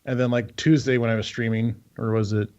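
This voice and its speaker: A man's voice: mid-range voice, steady tone, fairly quick, a little mellow, dull audio. A man chatting casually about video games as a podcast co-host, rambling, swearing freely and sounding incredulous. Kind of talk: casual video game chat.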